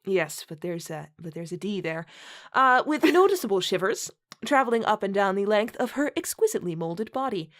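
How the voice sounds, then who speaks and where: A woman's voice: mid-range voice, big pitch swings, normal speed. A woman hosting a comedic read-aloud with casual chat, often breaking into laughter as she talks. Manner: giggly, breaks into laughter while talking. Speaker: host and reader.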